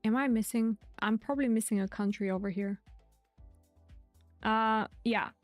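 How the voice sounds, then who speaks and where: A woman's voice: fairly high pitch, fairly even intonation, normal speed, dry recording, soft background music. A woman host giving live stream market commentary in a chatty way, repeating her key points. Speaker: crypto trading streamer.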